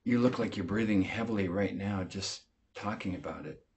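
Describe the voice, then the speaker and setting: An actor playing a measured clinician, putting probing questions in a training-film interview. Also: male voice, lowish voice, darkish tone, slight background noise, natural voice.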